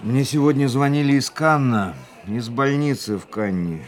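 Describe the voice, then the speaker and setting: An actor playing a fatalistic, world-weary racing driver in a Russian radio drama, speaking in a measured, reflective way. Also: male voice, mid-range voice, natural voice. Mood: weary, philosophical. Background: music.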